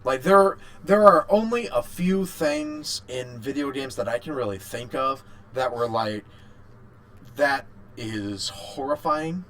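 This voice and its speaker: A man's voice: medium pitch, lively intonation, slow pace, fairly bright tone. A man co-hosting a casual podcast, speaking informally and often repeating words as he goes. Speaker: podcast co-host. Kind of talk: casual chat about video games.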